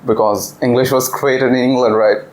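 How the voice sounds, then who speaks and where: A man's voice: medium pitch, steady tone, normal speed. Man, visiting English teacher, asks the class questions, classroom discussion.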